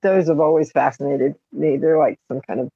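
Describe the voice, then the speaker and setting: A woman's voice: low voice, steady tone, a little fast, gravelly, breathy edge, dry recording. A woman co-hosting a podcast in casual, emphatic chat, recorded on a slightly dull, dry microphone. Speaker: podcast co-host.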